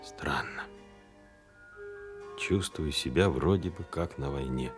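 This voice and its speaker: A deep-voiced, brooding man with music playing behind him. He muses reflectively about war in a Soviet radio drama monologue. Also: slow. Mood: pensive. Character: pensive man haunted by echoes of war.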